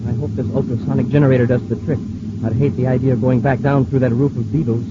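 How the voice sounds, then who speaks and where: An actor playing an eager, boyish cadet sidekick in an old-time radio sci-fi serial, earnest and exclamatory. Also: male voice, medium pitch, flat delivery, fast talker, close to an everyday voice. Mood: eager, dutiful. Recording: noisy, muffled old radio broadcast recording.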